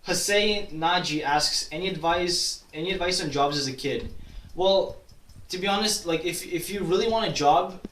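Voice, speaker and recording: A man's voice: sits high, normal speed, a bit bright. A young man from Kazakhstan answering viewer questions in a casual vlog, rambling with many restarts and fillers. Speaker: YouTuber. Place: at his grandmother's town in Kazakhstan. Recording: roomy echo, distant from mic.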